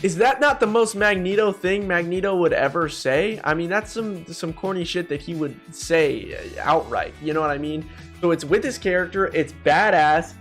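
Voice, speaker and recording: A man's voice: high-pitched, moderate pace, fairly bright tone, soft background music. A young man doing casual, opinionated gaming commentary, talking in profane slang and exclaiming as he ranks things. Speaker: gaming video host. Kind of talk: casual tier-list commentary.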